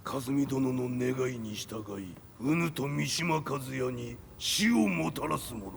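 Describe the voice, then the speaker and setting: A man playing a stern, solemn master warrior in a game, gravelly and weighty, with archaic phrasing. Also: medium pitch, normal speed, a little hiss or hum. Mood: solemn, menacing.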